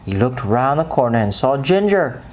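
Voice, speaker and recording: A woman narrating a children's bedtime story expressively, with dramatic pauses and deliberate emphasis. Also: very deep voice, very animated, darkish tone, slight background noise.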